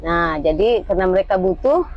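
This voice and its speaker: A woman's voice: lowish voice, animated, a little fast, a little hiss or hum. An older woman from North Sumatra telling her family history in a casual chat with her daughter, in a meandering storytelling way. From North Sumatra, Indonesia. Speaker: interviewee, mother of the host. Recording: slightly dull lo-fi sound.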